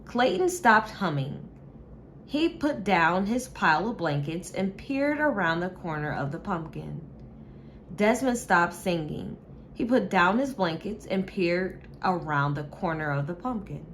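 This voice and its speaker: A woman reading a children's story aloud with measured, expressive delivery, like a teacher. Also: on the low side, slight background noise.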